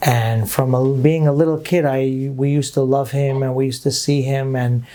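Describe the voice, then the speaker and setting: A middle-aged rabbi from Brooklyn, telling personal stories as a guest in earnest, reminiscing talk sprinkled with Hebrew phrases. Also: male voice, mid-range voice, fairly even intonation, normal speed, warm tone. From Brooklyn, New York. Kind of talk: storytelling interview talk.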